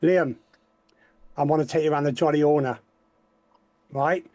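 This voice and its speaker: Brief: man, slightly higher voice, dry mic, blunt and crude banter. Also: steady tone, normal speed, dark tone, dry recording. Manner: crude, joking. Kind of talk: casual livestream chat.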